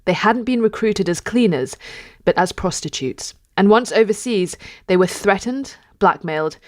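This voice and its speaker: A woman's voice: mid-range voice, expressive, normal speed, dry recording. Earnest woman host, dry close sound. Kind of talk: scripted introduction and interview questions.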